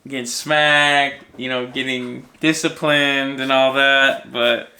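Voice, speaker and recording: A man's voice: medium pitch, fairly even intonation, slow, sharp tone. A young American man telling personal stories in a casual, rambling, self-deprecating way on a podcast. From United States, raised in Minnesota. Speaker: podcast guest and co-host sharing his story. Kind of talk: casual conversational storytelling.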